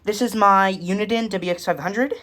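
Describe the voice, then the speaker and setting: A woman's voice: mid-range voice, normal speed, very noisy. A woman giving a casual, matter-of-fact show-and-tell of a hobby collection in short, clipped phrases, recorded indoors at home.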